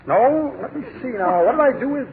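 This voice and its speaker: An actor playing a smooth-talking, pompous male con-man salesman with flowery patter, in 1940s radio comedy style. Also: extremely high pitch, lively intonation, fairly quick. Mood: salesy. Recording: scratchy narrow 1940s radio broadcast.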